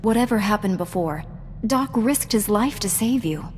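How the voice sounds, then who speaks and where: An actress playing a principled, composed female knight in a sci-fi video game, measured and earnest. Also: mid-range voice, animated, a little mellow, in a large hall, slight background noise, lightly acted. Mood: calm, firm. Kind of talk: video game dialogue acting.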